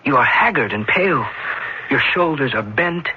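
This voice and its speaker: A loyal young male student in an old radio play, earnest and concerned. His voice sits at a medium pitch with a husky grain. The recording is a little muffled. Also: expressive, normal speed. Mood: frank, worried. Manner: earnest, careful, pleading. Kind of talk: 1970s radio drama acting.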